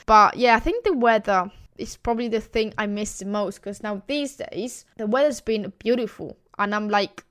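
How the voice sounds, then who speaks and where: A woman's voice: mid-range voice, moderate pace, hardly any pauses, fairly bright tone, clear, resonant tone. A young Italian woman with an Italian accent, hosting her podcast in a chatty, enthusiastic way and laughing between thoughts. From Italy. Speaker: podcast host. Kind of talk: casual podcast monologue. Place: home in Wales.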